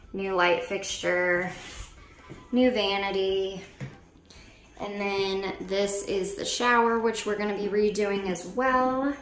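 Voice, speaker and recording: A woman's voice: medium pitch, a bit bright. An American woman in her twenties giving a chatty, informal walking tour for a vlog, thinking aloud as she talks in empty indoor rooms. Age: 20s. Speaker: vlogger giving a house tour. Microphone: handheld camera or phone at arm's length.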